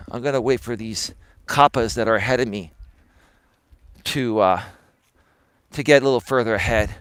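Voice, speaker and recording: A man live streaming outdoors on his phone while walking, talking to viewers in a rambling, emphatic way, sounding tired and a little hoarse. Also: medium pitch, a bit bright, dry recording. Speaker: life coach and live streamer. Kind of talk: casual live stream talk to chat. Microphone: phone camera held while walking.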